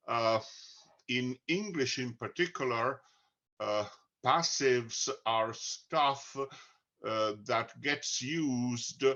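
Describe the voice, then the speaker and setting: Slow Italian-accented man, dull sound. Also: medium pitch, pushed and bright. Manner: slow, deliberate, frequent "uh" pauses. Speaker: book co-author. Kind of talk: conversational talk.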